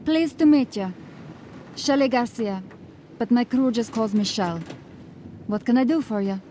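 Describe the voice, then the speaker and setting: A sly, breezy female smuggler captain, played by a woman, talking her way out of trouble in a mellow, warm voice a little higher than most. Faint ambient noise sits behind her, as in an audio drama. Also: unhurried, close to an everyday voice. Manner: breezy, evasive, gently mocking. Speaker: ship captain.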